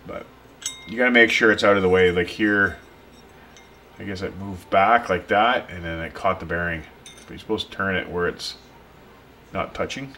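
Low-voiced man explaining a repair. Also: moderate pace, pauses often, bright, forceful tone. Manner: plain, unpolished explaining while working, talking to the viewer. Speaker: pool and spa repair technician. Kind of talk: casual hands-on repair tutorial. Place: workshop bench. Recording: tool clicks and workshop noise.

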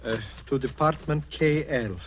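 An actor playing a meek, submissive nephew and reluctant accomplice in a 1940s radio drama. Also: male voice, fairly high pitch, unhurried, close to an everyday voice. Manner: hesitant, submissive. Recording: muffled, noisy 1940s radio recording.

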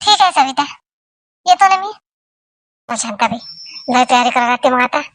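A woman's voice: high voice, lively intonation, moderate pace, fairly bright tone, no echo, studio quality. A woman voicing a chatty, matter-of-fact village woman in a family comedy cartoon. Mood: brisk, eager.

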